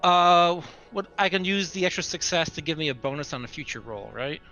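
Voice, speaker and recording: A man's voice: fairly high pitch, lively intonation, a little fast, sharp tone, lo-fi sound, soft background music. A man chatting casually as a tabletop game player, tentatively thinking aloud about his next move.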